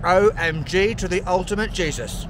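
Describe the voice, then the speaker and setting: A man in his thirties, a travel vlogger talking to a handheld camera outdoors on town streets, chatty and excitable with playful asides. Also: high-pitched, normal speed, noisy background. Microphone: handheld camera at arm's length.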